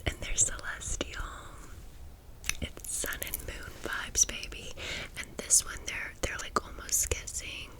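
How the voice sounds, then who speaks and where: Whispering American woman, slow and creaky. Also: medium pitch, low-quality recording. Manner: chatty, playful, with slangy phrasing. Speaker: ASMR video host. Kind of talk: whispered ASMR storytime and haul.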